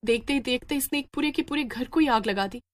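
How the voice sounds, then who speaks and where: A woman's voice: high-pitched, brisk, voice pushed up, lightly acted. A woman voicing a bold, protective village mother in a story, speaking earnestly and insistently. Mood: alarmed.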